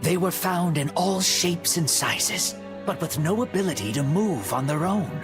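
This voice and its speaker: A man narrates a game cutscene like a storybook, with music clearly playing behind him. His voice sits a little high for a male narrator. He tells it solemnly and very slowly, in a measured, scripted way. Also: lively intonation.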